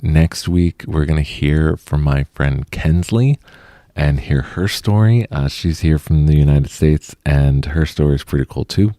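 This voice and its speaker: A man's voice: a bass voice, mellow, no room sound, clean studio sound. A man hosting a podcast interview, earnest and friendly, often repeating words as he starts a question. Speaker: podcast host. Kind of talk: podcast interview about sobriety.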